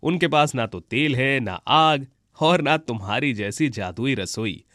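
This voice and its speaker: A man's voice: medium pitch, animated, unhurried, a bit bright, no echo, studio quality. A man playing a cheerful, hungry woodcutter husband in a children's cartoon. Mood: dismissive. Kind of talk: Hindi children's cartoon voice acting.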